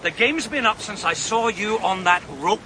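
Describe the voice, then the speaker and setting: A shrewd, cutting police detective. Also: male voice, high-pitched, expressive, noisy background. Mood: cold, accusing. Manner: measured, cutting, triumphant. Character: shrewd senior police detective unmasking a killer. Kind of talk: 1980s-90s radio drama acting.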